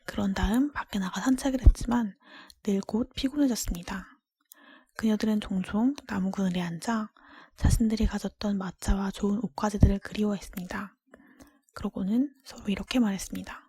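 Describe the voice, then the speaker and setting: Female ASMR storybook reader, fully whispered and airy, mellow tone, gentle measured delivery, intimate dry close-mic sound. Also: mid-range voice, dry recording. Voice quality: breathy, unvoiced whisper. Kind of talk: whispered ASMR fairy-tale reading. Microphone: close microphone, dry.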